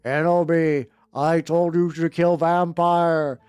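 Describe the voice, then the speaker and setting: A woman voicing an angry, melodramatic male dark-wizard villain in a fanfiction reading, scolding in a drawn-out, theatrical way. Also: low-pitched, steady tone, clean tone, dry recording, studio quality, voice pushed down. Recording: music playing behind the voice.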